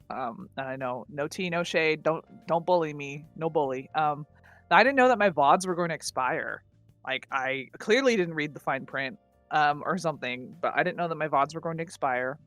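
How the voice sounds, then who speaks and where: A woman with a husky voice, co-hosting a podcast in loose, self-mocking casual chat full of filler words and casual swearing. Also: low-pitched, a bit bright, no room sound. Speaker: podcast co-host and streamer.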